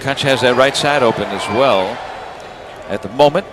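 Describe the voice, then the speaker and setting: A man with an American accent calling live baseball play-by-play on the radio in clipped phrases with pauses between pitches. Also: over loud music, a loud crowd.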